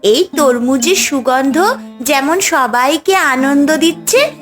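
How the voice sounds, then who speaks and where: A very high-pitched, principled little village girl, acted by a woman for a cartoon tale. She is earnest and kind, and takes her words slowly over a music bed. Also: lively intonation. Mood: earnest, gentle. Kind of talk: cartoon story dubbing.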